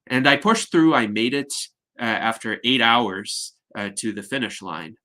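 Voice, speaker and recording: A man hosting an online discussion, talking in a measured, articulate way over a video call. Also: medium pitch, sharp tone. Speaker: host.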